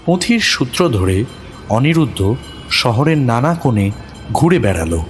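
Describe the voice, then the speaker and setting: A man narrating a horror thriller audio story in a dramatic, suspenseful way with deliberate pauses. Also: medium pitch, sing-song, soft background music.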